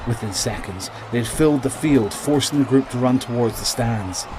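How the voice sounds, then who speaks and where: A man's voice: medium pitch, normal speed, noisy background. A man narrating a scripted audio drama in a dramatic storytelling style. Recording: dramatic music bed behind voice.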